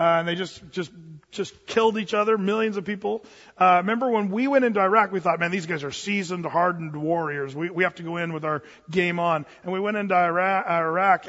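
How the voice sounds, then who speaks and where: A man with a high voice preaching in an earnest, rambling way, stumbling over his words and restarting. His voice rings with a long hall reverb, and the recording sounds dull and lo-fi. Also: fairly bright tone. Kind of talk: informal preaching talk.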